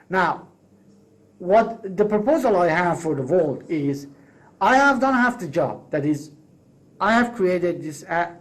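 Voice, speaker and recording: Emphatic man pitching. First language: Persian. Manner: emphatic and persuasive, self-correcting restarts. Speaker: course creator pitching a proposal. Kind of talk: solo spoken pitch to camera.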